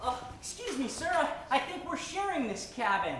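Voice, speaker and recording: An eager, naive boy sidekick in a radio play. The voice is high and very lively, with earnest stammers, heard from a distance in a very echoey space. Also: normal speed. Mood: polite, timid. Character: eager, naive young male sidekick, boyish. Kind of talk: old-time radio comedy acting. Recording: echoey stage, distant from mic.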